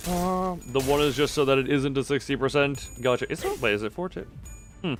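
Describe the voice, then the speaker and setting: A man live-streams a game in a medium-pitched voice. He chats casually and playfully, rambling and muttering asides to himself. Game music plays behind his commentary. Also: a little fast. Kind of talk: casual gameplay commentary to chat.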